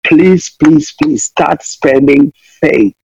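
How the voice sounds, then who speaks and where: A man's voice: fairly high pitch, fairly even intonation, slow pace, gravelly, darkish tone, no echo. A man from Kenya, preaching fervently as a missionary guest, pleading again and again and playing on words. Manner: impassioned, repeats pleas, wordplay. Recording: dull lo-fi dry sound.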